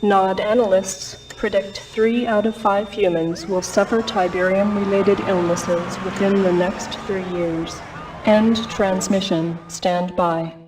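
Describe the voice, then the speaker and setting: A woman's voice: medium pitch, fairly even intonation, slow, natural voice. Woman as distant, clinical military briefing announcer. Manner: formal, clinical, detached. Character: impersonal female military research briefing announcer. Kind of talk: scripted briefing narration in a video game. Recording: distant mic, slight background noise.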